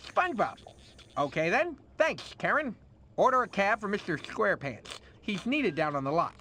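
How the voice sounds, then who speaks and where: High, swooping slick showbiz director. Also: male voice, big pitch swings, pushed and bright, a little hiss or hum, full character voice. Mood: brisk, pleased. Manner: theatrical, exasperated, fast-switching boss. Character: frantic, slick showbiz casting director, adult male cartoon character. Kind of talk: cartoon video game voice acting.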